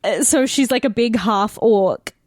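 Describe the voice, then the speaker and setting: A woman's voice: a little high, measured pace, a little mellow, no echo, studio quality. A woman with an Australian accent in casual podcast chat, speaking informally and swearing freely. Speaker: actor and podcast player.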